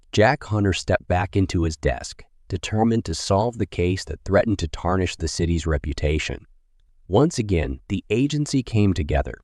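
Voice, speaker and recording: A man's voice: deep voice, darkish tone, no room sound, clean studio sound. A man narrating a scripted crime story in a dramatic storytelling style.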